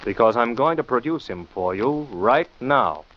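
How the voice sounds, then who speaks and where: An actor playing a confident, cool amateur detective in 1940s radio style, assured and commanding. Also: male voice, mid-range voice, expressive, normal speed, lightly acted. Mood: assured, stern. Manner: taunting, assured, crisply commanding. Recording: muffled, noisy 1940s radio recording.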